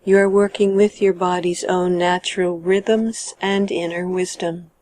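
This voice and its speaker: A woman guiding a meditation in a calm, measured and gentle manner, letting her sentence endings trail off. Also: on the low side, slight background noise. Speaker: meditation guide. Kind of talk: guided meditation narration.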